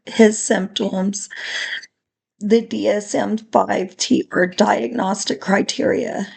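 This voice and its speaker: Slow raspy-voiced woman reading a case study. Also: a little high, poor audio. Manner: slow, careful reading with small restarts. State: raspy voice from illness.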